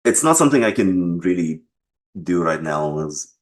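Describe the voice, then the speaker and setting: A man answering interview questions as a game developer, explaining casually in measured short phrases with pauses between them. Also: low-pitched, sing-song.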